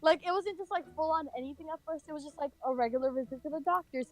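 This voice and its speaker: High-voiced young American woman telling stories. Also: quick pace. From California, United States. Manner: rambling, heavy use of filler "like". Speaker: storyteller. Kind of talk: casual chatty personal storytelling.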